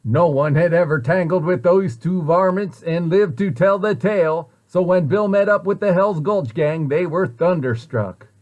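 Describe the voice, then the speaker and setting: A man reading a children's story aloud in an expressive way, with deliberate, dramatic emphasis. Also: high voice, narrow, tinny audio. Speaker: storybook reader, site director at a children's center.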